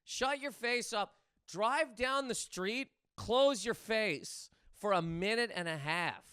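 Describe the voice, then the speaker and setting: A man with a high, sharp, forceful voice delivers a comedic rant straight to camera. He is exasperated and incredulous, leaning hard on words and stammering into repetitions. The sound is crisp, clean and dry. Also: takes it slowly, no room sound. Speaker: comedian and host.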